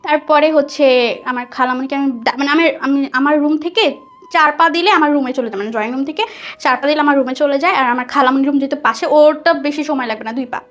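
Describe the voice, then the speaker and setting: A woman with a Bangladeshi accent telling a personal story conversationally, animated and dropping in English words. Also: high-pitched, fast talker, lo-fi sound. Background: soft background music.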